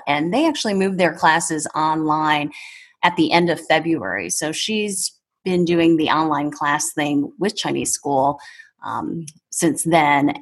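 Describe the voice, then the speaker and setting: A woman in her thirties talking casually as a podcast guest in a measured, thoughtful way. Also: low voice, breathy edge. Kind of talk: casual conversation.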